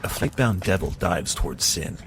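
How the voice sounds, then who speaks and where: A man's voice: low-pitched, expressive, moderate pace, vocal fry. A man playing a solemn narrator, delivering dramatic scripted fantasy storytelling. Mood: tense. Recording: loud effects noise behind.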